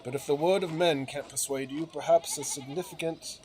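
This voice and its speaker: A man's voice: a little high, normal speed, a little mellow. A man reading game dialogue aloud in a theatrical way, occasionally stumbling over words and correcting himself. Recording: noisy recording with clear background sound.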